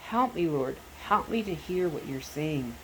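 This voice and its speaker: A woman's voice: sits low, expressive, unhurried, low-quality recording. Lady reading as a troubled girl praying, earnest and pleading, slight noise. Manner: pleading, searching. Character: troubled daughter praying earnestly while trapped.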